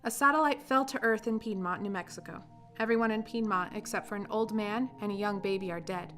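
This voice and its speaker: A woman's voice: medium pitch, a little fast, fairly bright tone, faint music behind. A woman reading scripted announcements and narration for a radio play.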